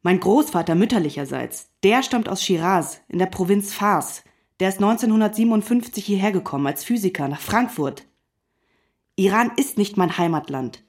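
An actress playing a precise, quietly indignant science writer in a radio drama, speaking tersely and matter-of-factly to correct someone. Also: female voice, medium pitch, expressive, normal speed, natural voice. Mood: firm, correcting. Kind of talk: naturalistic German radio drama dialogue.

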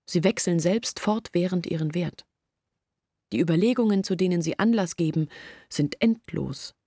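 An actress playing an anxious young village woman who earnestly pleads her family's case in a radio play, intimate and deliberate. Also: on the low side, dark tone, slightly rough, no room sound, clean studio sound. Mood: pleading. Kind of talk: literary radio play monologue.

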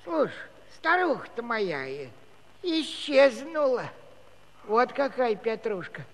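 A man's voice: sing-song, takes it slowly, a bit bright, heavily acted. An actor playing a comic old Cossack grandfather who stammers and rambles in a folksy way through his storytelling. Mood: plaintive, confiding. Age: older. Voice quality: high-pitched, stammering. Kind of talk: comic musical radio-play acting. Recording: reverberant hall-like studio recording.

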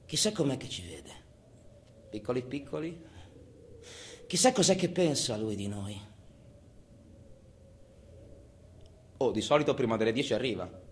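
Stage monologue: long-term prisoner, rigid and wry, fairly high male voice, very animated delivery, profane and colloquial. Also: a little hiss or hum. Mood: muttered, wry. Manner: swings from muttered confiding to barked, drawn-out announcements. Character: long-serving male prison inmate, obsessive about order, solitary, sardonic.

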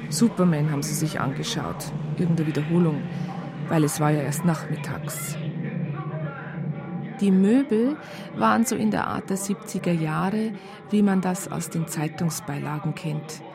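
An actress playing a wry, down-to-earth storyteller in a radio crime drama, narrating colloquially in a husky, creaky voice with a Bavarian accent. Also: female voice, deep voice, a little slow, music in the background.

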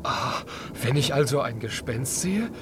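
A man's voice: medium pitch, expressive, unhurried, background noise, close to an everyday voice. A man playing a timid, dreamy young piglet in a children's radio play, speaking hesitantly and full of wonder.

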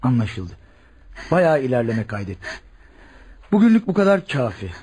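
A methodical senior male doctor in a radio play. His voice is mellow and strongly husky, at a middling pitch. He instructs and questions in a measured, authoritative manner. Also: sing-song. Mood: calm, probing. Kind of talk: radio drama acting.

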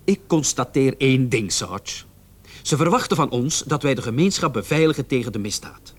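Male actor as cynical young policeman, insistent, sarcastic, lively pitch, radio play. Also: normal speed, natural voice. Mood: bitter. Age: young adult. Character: hot-headed young policeman, zealous and cynical. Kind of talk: radio play acting in Dutch.

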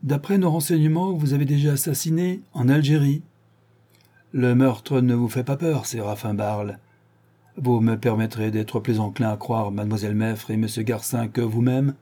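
A man's voice: mid-range voice, fairly even intonation, moderate pace, mellow, lightly acted. A man voicing a stern, condescending court president who lectures the accused. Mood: accusing. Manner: lecturing, reproachful.

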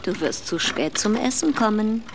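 An actress plays a married woman full of longing and bold insistence. Her voice has a gravelly creak and a coaxing, intimate manner, as in a radio drama. Faint noise sits behind her. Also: medium pitch, animated, normal speed, lightly acted. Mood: flirtatious. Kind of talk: German radio drama acting.

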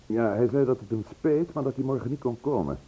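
An actor playing a shrewd, curious husband who calmly takes charge in a radio play, questioning in a measured, controlled way. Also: male voice, mid-range voice, husky, lightly acted, rough recording. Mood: cool, curious.

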